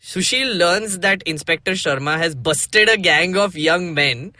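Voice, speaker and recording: A male podcast host with an Indian accent and a fairly high, very animated voice. He chats casually and reads aloud with playful drama, often breaking off for asides. The sound is bright and dry. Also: measured pace, no echo. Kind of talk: casual chat and dramatic comic reading.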